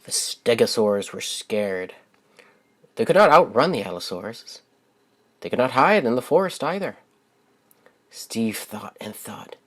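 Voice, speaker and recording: A man's voice: medium pitch, big pitch swings, unhurried, pauses often. Man reading a children's storybook. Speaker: children's book author reading aloud.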